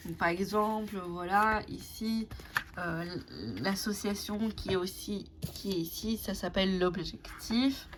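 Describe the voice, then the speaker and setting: A woman's voice: mid-range voice, fairly even intonation, unhurried. Hesitant woman, native Italian speaker. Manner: hesitant, many fillers and restarts. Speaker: tarot and oracle deck reviewer. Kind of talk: casual show-and-tell vlog. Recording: clear background noise.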